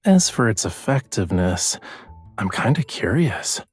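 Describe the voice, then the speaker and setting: Husky, teasing young romantic lead. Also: male voice, on the low side, sing-song, unhurried, dry recording, soft background music, exaggerated voice. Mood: playful, musing.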